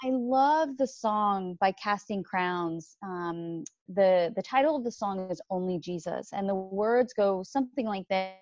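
Animated woman with a dull lo-fi sound. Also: a little slow, hardly any pauses, no echo. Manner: animated, jumps pitch when quoting others. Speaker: podcast guest. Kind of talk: faith-based podcast conversation.

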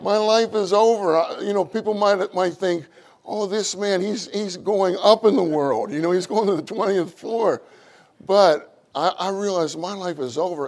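A middle-aged man with a loud voice, preaching a sermon with animated, acted-out quotes. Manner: animated, acts out quotes with rising pitch.

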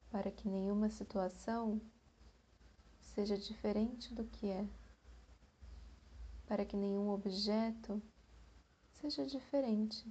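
A Brazilian woman leads a guided meditation in Portuguese-accented speech. Her voice is dark and relaxed, slightly husky, with a very even pitch. She moves extremely slowly and gently, letting long pauses settle between short phrases.

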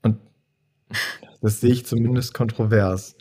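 A young adult man co-hosting a podcast, chatting casually about films in a measured, thoughtful way with frequent hesitations. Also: on the low side, steady tone, warm tone. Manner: measured and thoughtful, hedging with fillers. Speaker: podcast co-host. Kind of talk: casual film-discussion chat.

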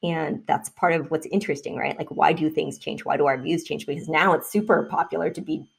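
Low, gravelly-voiced woman guest. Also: fairly even intonation. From Vietnam. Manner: reflective, measured, frequent um fillers. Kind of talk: conversational interview.

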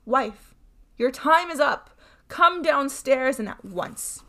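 A cruel nobleman out of a storybook who bawls his threats at full volume, as a woman reader puts him on. He sounds fairly high-pitched and forceful. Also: lively intonation, slow, full character voice. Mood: bellowing. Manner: loud and bawling. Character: cruel bellowing nobleman Bluebeard, man.

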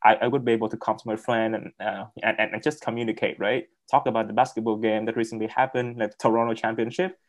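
A young man who speaks English as a second language, telling his story as a guest on a video call, halting and repeating words with many fillers. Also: lowish voice, steady tone. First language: not English. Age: young adult. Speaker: interview guest. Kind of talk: casual interview on a video call.

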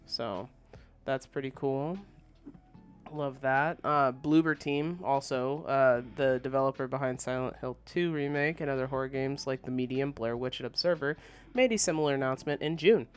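A man with a smooth, clear voice at a medium pitch co-hosts a gaming podcast. He delivers news and his own commentary informally, as if chatting with a friend. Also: normal speed, soft background music. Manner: informal, conversational.

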